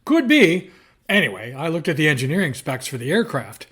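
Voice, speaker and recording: An actor playing a breezy, reassuring newspaper reporter in an audio drama, joking and unruffled. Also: male voice, fairly high pitch, big pitch swings, a little fast, a bit bright, breathy edge, full character voice. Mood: keen, breezy.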